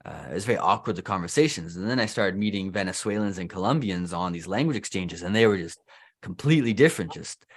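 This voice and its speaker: A man's voice: low voice, expressive, fast. A young Canadian man with a Canadian accent hosting a casual interview, talking loosely with lots of restarts and fillers. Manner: chatty, loose, heavy use of "like" and restarts. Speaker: host.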